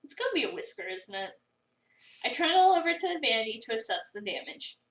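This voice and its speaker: A woman's voice: fairly high pitch, big pitch swings, fast, fairly bright tone, voice pushed down. A woman voicing an exasperated, sarcastic young cafe worker, narrating a visual novel with dramatic incredulity. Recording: roomy, slightly distant mic.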